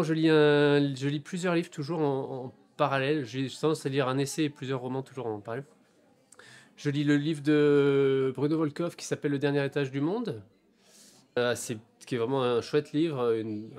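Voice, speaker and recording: A man in his forties from France talks about his novels in a bookshop interview. He searches for words, pausing and restarting often, yet keeps a reflective, earnest tone. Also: mid-range voice, steady tone, darkish tone. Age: 40s. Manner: hesitant, many fillers and restarts, thoughtful. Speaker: author.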